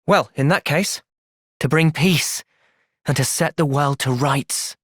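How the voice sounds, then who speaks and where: A man voicing an earnest, thoughtful young soldier in a video game, with an English accent and a measured, sincere delivery. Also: on the high side, fairly bright tone, no room sound, clean studio sound. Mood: calm, earnest. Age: young adult. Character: earnest, thoughtful young male soldier, gentle but resolute. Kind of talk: video game cutscene acting.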